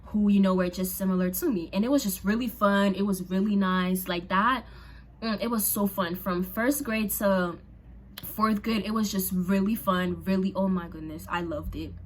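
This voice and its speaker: A young woman from Haiti whose first languages were Creole and French, chatting casually to camera in an expressive, slangy way. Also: mid-range voice, normal speed. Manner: chatty and expressive, slangy, lots of fillers and exclamations. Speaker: vlogger. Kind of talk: casual storytime chat to camera.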